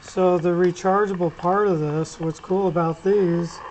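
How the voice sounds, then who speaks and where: A hobbyist talks through a radio setup in a casual, unscripted way. The voice sits at a middling pitch, and the explanations ramble and halt. Clear background noise and clicks run through the recording. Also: fairly even intonation, unhurried, darkish tone, rough recording. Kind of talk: casual unscripted gear demo.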